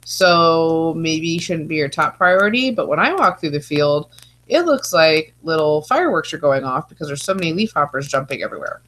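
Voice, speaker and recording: A woman teaches over a video call with a stuffy, congested nose. Her voice sits a little low, and her talk is chatty and loose, full of playful asides. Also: lively intonation, normal speed, a bit bright. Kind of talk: online presentation lecture.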